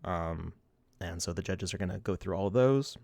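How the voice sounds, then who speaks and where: A man in his thirties hosts a casual livestream from his desk, chatting loosely and laughing as he reads the chat. His voice sits low, and he is a bit sniffly. The sound is close and dry, with no room around it. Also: moderate pace, gravelly, a little mellow, no room sound. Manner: rambling, laughs often, restarts, reads chat. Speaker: livestream host.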